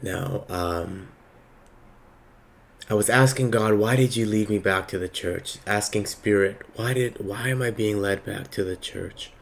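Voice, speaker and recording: A man with a slightly raspy voice giving a slow, reflective spiritual monologue with many pauses. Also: on the low side. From Palestinian heritage. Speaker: spiritual reader.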